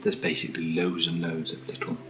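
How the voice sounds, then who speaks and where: A British man speaking softly in a breathy near-whisper, giving an informal, slightly hesitant ASMR product walkthrough as a keen enthusiast. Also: deep voice, monotone, brisk, music in the background. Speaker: ASMR host. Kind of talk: soft-spoken ASMR product unboxing.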